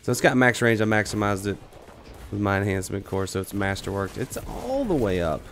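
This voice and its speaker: A man's voice: lowish voice, sing-song, a little fast, a bit bright, faint music behind. A man streaming a shooter game with casual, joking commentary to viewers, his voice worn out from a cold. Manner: joking, talks to viewers while playing. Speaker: gaming streamer. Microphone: headset or desk mic, no camera.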